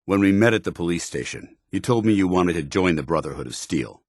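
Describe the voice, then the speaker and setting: A stern paladin commander from a video game, with a very low, husky voice. He speaks in formal, clipped military phrases and carries himself as a duty-bound officer. His pitch moves with animated force. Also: fairly quick, no room sound, studio quality. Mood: measured, formal. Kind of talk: video game companion dialogue.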